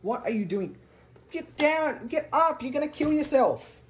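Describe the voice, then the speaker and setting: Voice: animated, measured pace. A person with an Australian accent filming and teasing a sibling in casual, bossy banter. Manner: mocking, bossy, jumps between high and low. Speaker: vlogger filming a family skit. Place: small bedroom with blinds and a gaming desk. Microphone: handheld phone. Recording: echoey bedroom on a phone.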